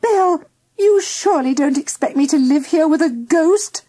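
A woman's voice: sits high, animated, drawn-out words, mellow, voice pushed up, exaggerated voice. A woman voicing an overwrought, complaining mother in a children's story, fretful and exclaiming. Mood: upset, pleading.

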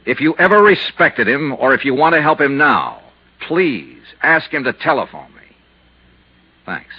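An actor playing a cool, shrewd insurance investigator in a 1950s radio drama, terse, measured and matter-of-fact. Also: male voice, mid-range voice, big pitch swings, slightly rough. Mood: cool, persuasive. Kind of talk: 1950s radio drama, hard-boiled narration and dialogue. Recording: muffled 1950s radio recording.

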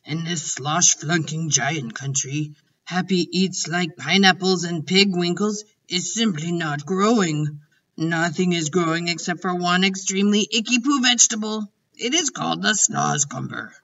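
A woman's voice: fairly low pitch, measured pace, a bit bright. A woman reading aloud as a kindly male giant who mixes up his words and speaks grandly, in a storybook. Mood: earnest. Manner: jumbled grammar, grand and emphatic. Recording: dull distant recording.

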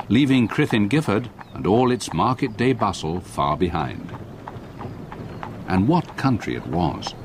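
An actor playing an older English retired solicitor, narrating his memories in measured, literary radio drama style. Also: on the low side, noisy background. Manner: measured, literary, vivid storytelling. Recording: clear outdoor effects behind narration.

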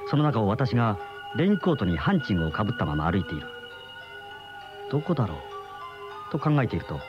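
An actor playing an uneasy middle-aged husband in a radio mystery, with brooding, dramatic storytelling. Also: male voice, lowish voice, very animated, quick pace. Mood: brooding, dreamy. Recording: muffled old radio drama recording, music behind.

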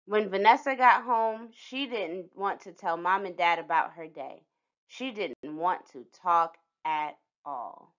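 A woman's voice: fairly low pitch, takes it slowly, fairly bright tone, highs cut off. A woman reading a children's picture book aloud as a scripted storyteller.

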